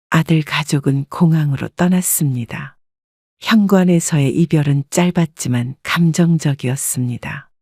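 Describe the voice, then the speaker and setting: A woman narrating a scripted first-person life story in a calm, even manner. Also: sits low, no room sound, studio quality.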